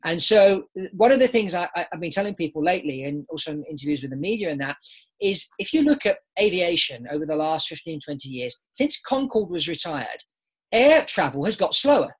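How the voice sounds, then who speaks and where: A man's voice: a little high, sing-song, a little fast, breathy edge, low-quality recording. A British man in his forties, a business founder, answering interview questions over a video call from a home office. He talks with his hands and often repeats words as he restarts. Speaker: guest, aviation business founder. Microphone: laptop webcam on a video call.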